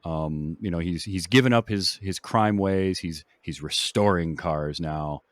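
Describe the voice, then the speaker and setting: A man's voice: low voice, a little slow, dry recording. A man hosting a podcast, chatting casually about films. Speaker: podcast host. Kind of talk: casual film discussion.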